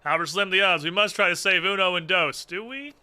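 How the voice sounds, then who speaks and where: A man's voice: high-pitched, quick pace, sharp tone, clean tone. A man doing casual gaming commentary in a sarcastic, mock-dramatic and profane way. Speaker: game streamer. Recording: music playing behind. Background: game music.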